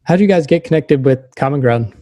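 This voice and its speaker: Male podcast interviewer, dark mellow tone, casual, dry recording. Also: fairly high pitch, lively intonation, fast, no room sound.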